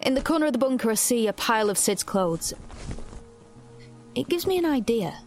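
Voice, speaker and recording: A woman's voice: on the high side, sing-song, darkish tone, soft background music. Despairing young rebel woman, introspective. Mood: restless. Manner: introspective, halting, self-questioning. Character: despairing, isolated young rebel woman narrating her dystopian ordeal. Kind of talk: first-person audio drama narration.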